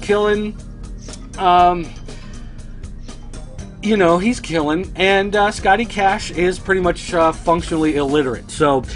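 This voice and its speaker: A man's voice: high-pitched, a little slow, pauses often, soft background music. A man hosting a toy collecting news show, chatting casually to viewers in a dry, sardonic way.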